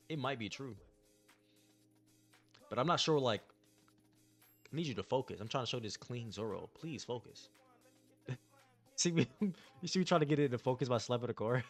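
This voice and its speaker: A young man streamer chatting casually to his live chat in slangy, laid-back talk while opening trading cards. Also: mid-range voice. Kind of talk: casual livestream card-opening commentary.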